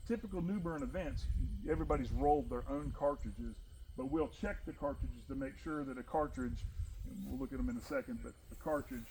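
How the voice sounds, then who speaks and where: A man with a big, loud voice, leading an informal musket training session for his group and reading out the rules. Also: medium pitch, a little hiss or hum, rough recording. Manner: loud, animated, talks with his hands in stories.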